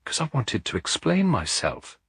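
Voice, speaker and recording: A man voicing a remorseful, soft-spoken female employee in a story, pleading as she confesses. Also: medium pitch, huge pitch swings, normal speed, husky, no room sound, voice pushed up, exaggerated voice.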